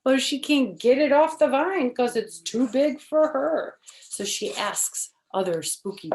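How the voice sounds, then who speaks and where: A woman storyteller reading a picture book aloud for children in an expressive, deliberate, teacherly way. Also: high voice, a little hiss or hum. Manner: expressive, deliberate, simple phrasing. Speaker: storyteller, first-grade teacher.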